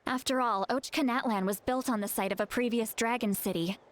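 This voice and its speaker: A woman's voice: a little high, fairly quick, noisy background, close to an everyday voice. A woman playing a composed, knowledgeable young guide in a video game, explaining things earnestly and seriously.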